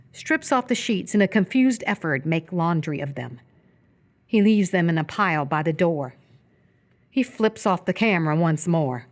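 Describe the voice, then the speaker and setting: A woman narrating a live radio theatre script, reading stage directions in a measured, descriptive way. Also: fairly low pitch.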